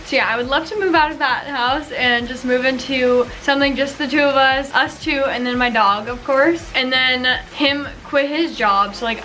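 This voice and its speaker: A young American woman talks casually to camera like a vlogger. Her voice sits on the high side. She is chatty and informal, with slangy phrasing and lots of filler words. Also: sharp tone, slight background noise, rough recording. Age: 20s. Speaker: vlogger, new graduate nurse.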